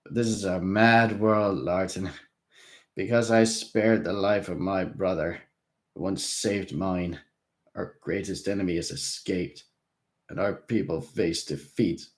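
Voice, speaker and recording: A man's voice: sits low, a little slow. A man voicing a drunken, despairing male vampire prince who rants and shrieks. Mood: bitter. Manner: shrieking, ranting.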